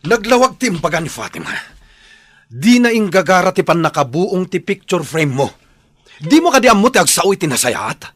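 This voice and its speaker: Radio drama family man played by a male actor: mid-pitched, rough-edged, very animated, protective and insistent. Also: moderate pace, heavily acted. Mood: indignant. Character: protective younger brother, a firm, indignant family man.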